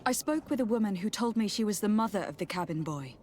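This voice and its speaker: Young noblewoman envoy for a game, female actor: courteous, composed, resolute, medium pitch, faint background noise. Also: lively intonation, fast, a little mellow, lightly acted. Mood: polite, resolute. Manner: polite, composed, formal. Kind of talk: video game cutscene dialogue acting.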